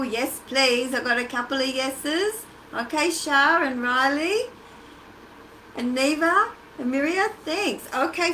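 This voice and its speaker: An Australian-accented woman hosting a casual children's craft livestream, chatty and encouraging as she talks directly to the kids. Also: high-pitched. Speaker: children's storytime and craft host. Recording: echoey, noisy livestream from a distance.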